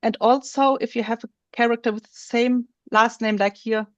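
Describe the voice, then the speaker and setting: A woman presenting on a video call, slowly walking through a software feature while she shows her screen. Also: a little high, steady tone, no room sound, highs cut off. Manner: slow, explanatory, thinks aloud while showing her screen. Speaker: webinar presenter.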